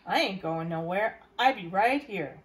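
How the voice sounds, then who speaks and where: A woman reading a storybook part of a wise, gentle older woman gardener who talks in folksy, reassuring phrases. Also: medium pitch, expressive, a little slow, pitched below the performer's own voice, natural voice. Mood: fond, plainspoken.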